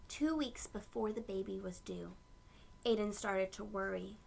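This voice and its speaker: A woman reading a picture book aloud to young children and explaining it gently in simple words. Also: mid-range voice, unhurried, vocal fry, a little hiss or hum, poor audio. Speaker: children's read-aloud host.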